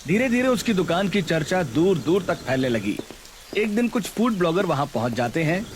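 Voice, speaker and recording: A man's voice: sits high, very animated, normal speed, a little hiss or hum. A man reading scripted narration for a Hindi cartoon story.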